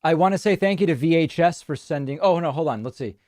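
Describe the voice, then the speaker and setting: A man's voice: fairly high pitch, quick pace, darkish tone, no room sound. A male talk-show host, whose first language is Spanish, reading viewer comments aloud and answering with dry, dismissive asides. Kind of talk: live-stream talk show chat.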